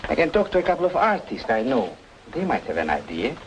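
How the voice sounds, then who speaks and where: A helpful, musing small-town shopkeeper in an old radio drama. His medium-pitched voice is very animated, with faint noise behind it. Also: fast talker. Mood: puzzled, helpful. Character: helpful, chatty small-town art shop man. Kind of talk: old-time radio noir drama acting.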